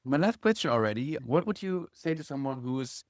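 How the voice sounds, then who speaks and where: Mid-pitched man interviewing, affirming and halting. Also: lively intonation, no echo, clean studio sound. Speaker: interviewer and music production teacher. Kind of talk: casual interview.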